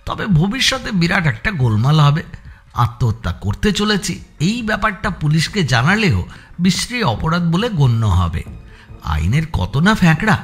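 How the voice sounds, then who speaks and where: A man narrates a scripted suspense story in a measured storytelling manner. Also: a little high, huge pitch swings, fairly bright tone, faint music behind. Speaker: audio story narrator and channel presenter.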